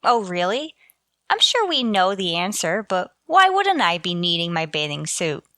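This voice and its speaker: Dry, bright-voiced, animated schoolteacher played by an actress. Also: female voice, fairly low pitch, a little slow, no echo, exaggerated voice. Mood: wry. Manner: dry, sarcastic delivery. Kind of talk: 1940s radio sitcom acting.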